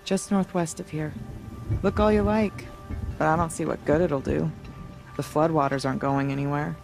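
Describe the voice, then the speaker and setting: A woman's voice: low-pitched, steady tone, moderate pace, gravelly, over music. An actress playing a weary, resigned woman of a tribe in a video game, speaking in a somber, reflective manner. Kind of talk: video game character acting.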